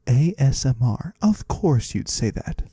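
Man playing a mellow, husky, unhurried trader from the void. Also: mid-range voice, very animated, no room sound, clean studio sound. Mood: musing, playful. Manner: musing, quaintly formal, savors single words. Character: curious otherworldly male trader of the shadowy void. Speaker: ASMR performer. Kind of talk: ASMR roleplay, soft slow close speech to the listener. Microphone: close microphone, dry.

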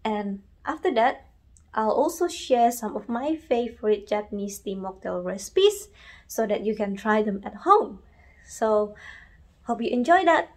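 A woman's voice: on the high side, expressive, measured pace. A woman in her thirties introducing a tea-brewing workshop in a friendly, scripted way. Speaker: tea presenter.

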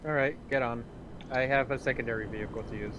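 A man's voice: medium pitch, steady tone, fast talker, dull audio. A man chatting casually with friends during a multiplayer game, explaining things in a matter-of-fact way. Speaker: gaming companion. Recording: voice chat with game vehicle noise. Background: video game vehicle noise.

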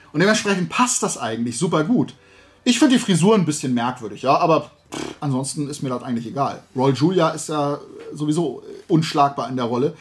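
Higher-pitched man ranting casually. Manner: animated ranting, colloquial. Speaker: host. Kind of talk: casual opinionated chat. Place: small room at home, indoors, at a desk.

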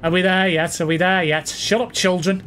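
A man's voice: sits high, normal speed. A man from Wales with a mixed Manchester and Lancashire accent, chatting casually on a live stream while he plays a game and reads viewer comments aloud. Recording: steady noise behind the voice.

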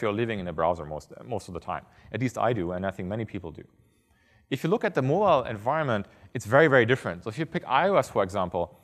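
A man in his thirties giving a conference keynote on stage, talking fast and earnestly, often restarting by repeating a word. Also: medium pitch. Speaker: keynote speaker. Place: large conference hall on stage. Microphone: headset. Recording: reverberant hall.

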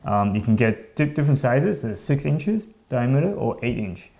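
A man in his thirties hosts a fishing show from a bright indoor studio. He talks in a relaxed, hesitant way, full of ums, and his sentences often trail off softly. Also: medium pitch, normal speed, creaky. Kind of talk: casual gear explanation.

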